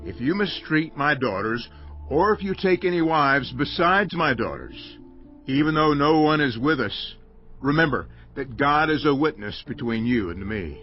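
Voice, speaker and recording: An actor playing a stern, possessive older father and clan head in a dramatized audio Bible, speaking forcefully and solemnly. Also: a little high, expressive, unhurried. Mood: solemn, warning. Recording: dull lo-fi audio with music behind.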